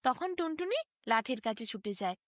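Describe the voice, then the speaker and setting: Female children's storyteller, high and very animated, expressive bedtime-tale delivery. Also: moderate pace, no room sound, clean studio sound.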